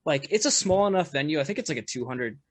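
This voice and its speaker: A man, a musician guest on a podcast, with a loud voice, telling a story in casual chat full of fillers and restarts. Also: on the high side, lively intonation, fast talker. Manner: rambling, lots of fillers and restarts, laughs often.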